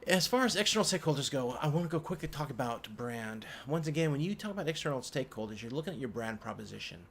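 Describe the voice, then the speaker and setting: Halting male instructor over noisy recording. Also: mid-range voice, sing-song, fairly bright tone. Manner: halting, hesitant phrasing with repeated word restarts. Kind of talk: informal screencast tutorial, thinking aloud. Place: indoors at a computer. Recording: clear background noise, keyboard typing.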